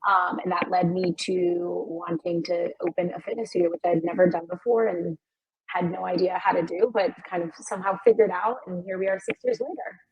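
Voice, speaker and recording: A woman's voice: on the low side, steady tone, brisk, breathy edge, dry recording, lo-fi sound. A woman born in the Dominican Republic, a studio founder and guest, answering in a friendly, grateful way with frequent "um" fillers. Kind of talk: casual interview.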